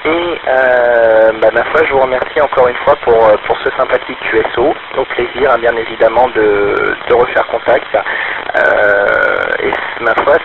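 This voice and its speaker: A man's voice: mid-range voice, big pitch swings, measured pace, creaky, phone-quality sound. A man from France chatting as an amateur radio operator, wordy, hesitant and courteous. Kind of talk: casual radio chat. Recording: very noisy background on radio conversation.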